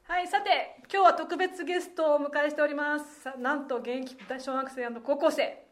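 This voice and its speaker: A woman hosting a casual radio show, chatty and animated, reacting with exclamations and laughter. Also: high voice, normal speed. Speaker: host. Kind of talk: casual interview and on-location chat for a web radio show. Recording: faint background noise, location ambience.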